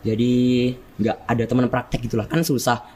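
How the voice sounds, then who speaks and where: A teenage boy with a Javanese accent, a student guest on a school podcast, explaining things at length in a relaxed way. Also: medium pitch, moderate pace, slight background noise. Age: teens. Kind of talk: casual podcast chat.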